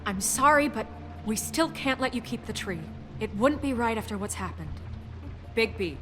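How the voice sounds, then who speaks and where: A righteous, commanding woman voiced by an actress in a video game drama. Her high voice moves sharply up and down as she gives orders and accusations, with music behind. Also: lively intonation, normal speed, lightly acted. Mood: firm. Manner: authoritative, accusing. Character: stern, commanding woman official, righteous and unyielding.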